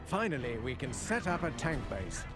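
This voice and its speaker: A man's voice: mid-range voice, sing-song, normal speed, over music, natural voice. A man playing a seasoned British military officer in a World War II game, clipped and authoritative. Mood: relieved. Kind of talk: video game voice acting, WWII briefing.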